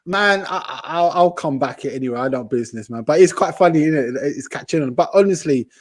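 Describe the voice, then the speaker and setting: Slangy man, fairly high voice. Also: expressive, brisk. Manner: teasing. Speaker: football fan guest on a call. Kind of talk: casual slangy banter.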